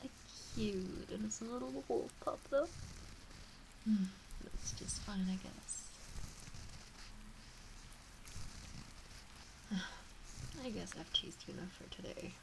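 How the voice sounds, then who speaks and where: A woman voicing a teasing, doting kitsune witch in an intimate ASMR roleplay, cooing softly and often dropping into whispers. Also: mid-range voice, a little slow, vocal fry, noisy background. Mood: affectionate, apologetic.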